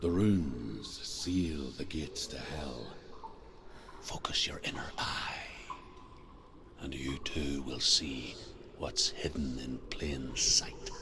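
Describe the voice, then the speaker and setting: Casting: grave old spirit guide for a game, bottomless breathy voice, slow deliberate storyteller, clear background noise. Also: male voice, very deep voice. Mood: solemn, guiding. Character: old ghostly male guide, solemn former slave, embittered storyteller. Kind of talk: video game voice acting.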